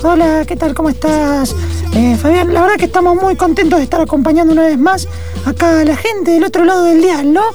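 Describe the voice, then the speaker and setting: A man's voice: extremely high pitch, fairly quick, darkish tone, soft background music. A man with an Argentine accent playing a chatty, slightly clueless radio co-host in improvised radio theatre. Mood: cheerful.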